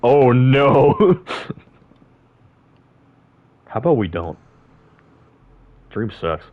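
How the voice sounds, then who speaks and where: A man's voice: mid-range voice, big pitch swings, extremely slow. A man chatting casually while playing a game, joking, swearing and laughing often. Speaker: gamer. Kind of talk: casual gaming chat. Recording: dull lo-fi sound.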